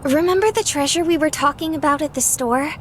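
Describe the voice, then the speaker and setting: Very high, peppy young woman. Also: a little fast, slight background noise. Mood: hopeful pleading. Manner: bubbly, eager. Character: peppy, naive, excitable young woman, pleading sweetly. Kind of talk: visual novel game voice acting. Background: loud music.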